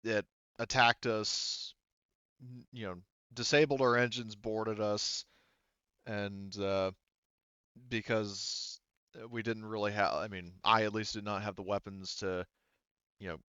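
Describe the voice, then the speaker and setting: A man's voice: lowish voice, fairly bright tone, clear, resonant tone, no echo, muffled recording. A man voicing a weary, grateful woman who survived an attack on her ship, stammering as she speaks, in a tabletop game. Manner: halting, stammering. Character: rescued female crew survivor, weak, relieved, grateful, apologetic.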